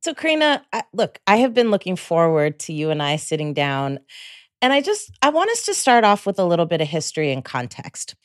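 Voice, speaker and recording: A woman's voice: lowish voice, big pitch swings, brisk, no echo, clean studio sound. A woman from the Bay Area hosting an interview, reflective and chatty, often tagging thoughts with "right?" and laughing lightly. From San Francisco Bay Area. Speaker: host. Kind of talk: conversational interview.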